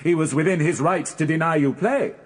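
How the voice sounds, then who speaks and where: An actor playing a stern, relentless British prosecuting barrister in a radio drama, questioning formally and deliberately. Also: male voice, fairly high pitch, breathy edge. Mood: accusing.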